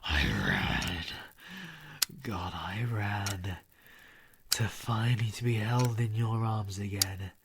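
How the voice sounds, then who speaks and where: Male actor for British werewolf villain, audio drama: grief-stricken, powerful, anguished half-whispers, drawn-out delivery, noisy recording. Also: lowish voice, lively intonation. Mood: haunted, sorrowful. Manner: slow, theatrical, anguished, often breathy and half-whispered. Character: grieving male werewolf villain, bitter and powerful, mourning his mother. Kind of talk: scripted audio roleplay monologue.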